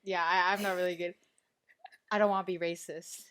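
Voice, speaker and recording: A young woman co-hosting a casual podcast, bubbly and laughing often with a loud, distinctive laugh. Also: lowish voice, flat delivery, brisk. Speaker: podcast co-host. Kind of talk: casual chat.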